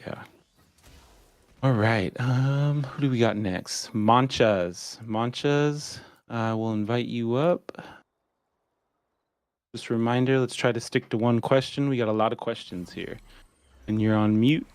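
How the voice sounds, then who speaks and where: A man moderating an online town hall, relaxed and joking, with lots of casual fillers. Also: medium pitch, a little slow, smooth, studio quality.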